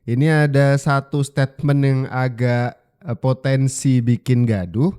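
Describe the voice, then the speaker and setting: Indonesian guy host doing casual political commentary, chatty, mellow tone. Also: mid-range voice, fairly even intonation, a little slow, smooth. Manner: conversational, colloquial.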